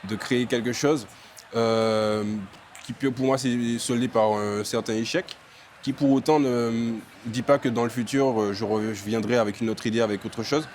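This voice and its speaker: A young man from Martinique answers interview questions haltingly, restarting and filling with euh. His voice sits at an ordinary middle pitch. A faint noise hums under the recording. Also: fairly even intonation, darkish tone. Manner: hesitant, many fillers and restarts. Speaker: entrepreneur, interviewee.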